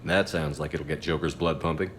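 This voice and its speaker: Game role, supportive military commander. Very deep, composed, dry-humoured, long reverb and some noise. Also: male voice, steady tone, fairly quick, fairly bright tone. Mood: calm, wry. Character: male military commander, steady, wry, supportive human soldier. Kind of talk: video game dialogue acting.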